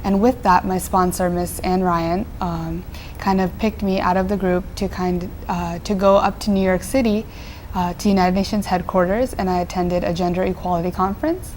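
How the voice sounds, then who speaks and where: A woman's voice: on the low side, fairly even intonation, normal speed, a little mellow, slight background noise. A teenage girl from Nepal, a nonprofit founder answering interview questions earnestly, with frequent fillers and restarts. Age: teens.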